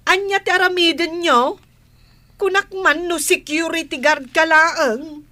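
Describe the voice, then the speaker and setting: Very shrill, combative village woman, Ilocano accent. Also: measured pace, bright, forceful tone, exaggerated voice. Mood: grumbling. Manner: squawking, exclaiming. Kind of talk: Ilocano comedy radio drama acting. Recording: clearly noisy background.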